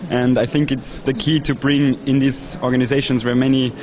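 A young man who works in NGO marketing, speaking calmly and in a measured way in an interview. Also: mid-range voice, poor audio. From Austria. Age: young adult. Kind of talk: interview remarks at a youth forum.

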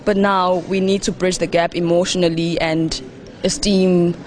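A woman's voice: fairly low pitch, little pitch movement, normal speed, a little mellow. A young woman, speaking in an interview as a youth program founder, with frequent ums and restarts. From South Africa. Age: young adult. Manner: frequent ums and restarts, laughs between thoughts. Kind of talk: interview remarks at a youth forum.

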